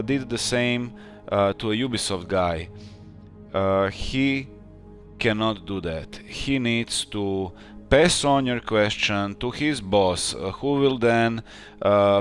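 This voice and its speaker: Slow-talking man game developer. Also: on the low side. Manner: thoughtful, many fillers. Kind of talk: casual live stream chat.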